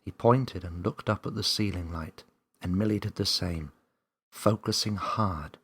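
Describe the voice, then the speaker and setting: A man's voice: sits low, unhurried, a bit bright, breathy edge. A man reading a children's story aloud as a scripted narrator.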